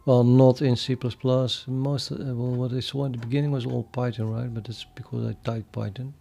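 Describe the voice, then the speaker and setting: A man with a Dutch accent chatting casually on a live programming stream, thinking aloud and halting with many fillers. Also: mid-range voice, flat delivery, gravelly, dark tone. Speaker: programming livestreamer.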